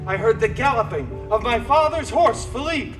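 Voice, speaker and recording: High, swooping, campy superhero storyteller. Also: male voice, lively intonation, moderate pace. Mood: theatrical, playful. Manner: campy, teasing, mock-dramatic. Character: flamboyant, theatrical male superhero jester playing a storybook heroine. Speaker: storyteller in a theme park live show. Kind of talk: hammy live storytelling to a crowd. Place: outdoor theme park show. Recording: distant camera audio, loud music and crowd. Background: loud music and crowd.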